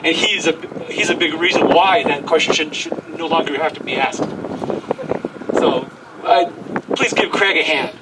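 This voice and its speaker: A man's voice: a little high, normal speed, far from the mic. A middle-aged or older man giving an informal, emphatic tribute speech at a windy outdoor event. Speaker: committee speaker introducing an honoree. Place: outdoor event in strong wind. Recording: windy outdoor mic, loud wind noise.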